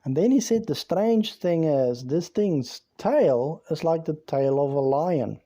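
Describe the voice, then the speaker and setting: Slow, dark-voiced man. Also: on the high side, animated. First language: Afrikaans. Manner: rambling, with many "you know"s and repeated words. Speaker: former police officer telling a true story. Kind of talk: casual spoken storytelling.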